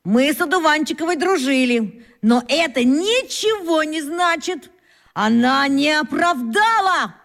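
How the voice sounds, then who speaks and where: Shrill, very high-pitched tattletale schoolgirl. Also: animated, unhurried, fairly bright tone, heavily acted. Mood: smug, dismissive. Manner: insistent, emphatic, accusatory. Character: spiteful accusing schoolgirl fifth-grader, eager tattletale. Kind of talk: children's radio play acting. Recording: long reverb on the voice.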